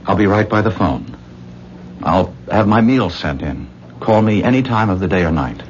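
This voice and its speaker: A man's voice: fairly low pitch, expressive, normal speed, close to an everyday voice. An actor playing a shaken, lovestruck man in a 1970s radio drama, American accent, hesitant and insistently repeating himself. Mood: tender, pleading. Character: shaken, earnest, lovestruck man pleading with his lover. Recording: muffled, noisy 1970s radio recording.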